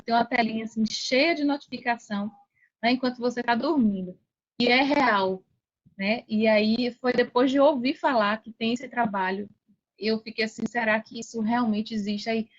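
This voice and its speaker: A Brazilian woman in her forties telling her story conversationally as a podcast guest, chatty and often checking for agreement with short tag questions. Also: fairly high pitch. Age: 40s. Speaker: podcast guest, affiliate marketer. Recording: dull lo-fi sound.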